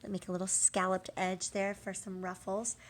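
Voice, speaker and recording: Woman, medium pitch, children's teacher, read-aloud lesson style, question prompts, pauses, drawn-out key words. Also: flat delivery. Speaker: early literacy teacher.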